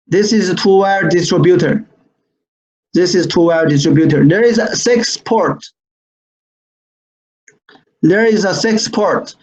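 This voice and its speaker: High-pitched man giving technical training, non-native English. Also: darkish tone. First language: not English. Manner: repetitive, halting phrasing with frequent "right?" tags. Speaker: product trainer. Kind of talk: online technical training presentation. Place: screen-share online session. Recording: dull lo-fi online webinar audio.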